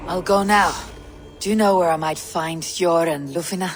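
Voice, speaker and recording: A woman's voice: fairly low pitch, fairly even intonation, moderate pace, long reverb tail, soft background music, natural voice. An actress playing a stern, blunt Norse warrior woman in a video game, speaking in terse commands. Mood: purposeful. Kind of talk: dramatic video game acting.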